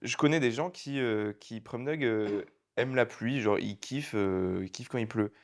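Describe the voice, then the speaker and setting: A young man co-hosting a casual podcast, joking, rambling and teasing his friend, talking into a handheld mic. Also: medium pitch. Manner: jokey, rambling, quick to tease. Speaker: podcast co-host. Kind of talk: casual chat.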